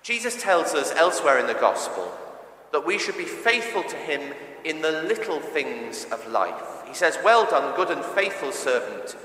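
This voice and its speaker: A man's voice: fairly high pitch, sing-song, normal speed, bright, forceful tone, a little hiss or hum. Echoey church sermon from a male preacher. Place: large reverberant church interior. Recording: echoey church with long reverb.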